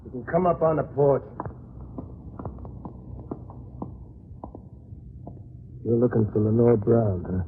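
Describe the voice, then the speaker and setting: Casting: male actor as big surly tough, curt and bossy, medium-pitched, thin noisy vintage radio sound. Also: animated, brisk, lightly acted. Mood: guarded. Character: big, mean, suspicious husband with attack dog. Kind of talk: 1940s-50s radio acting. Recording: narrow, noisy old radio recording.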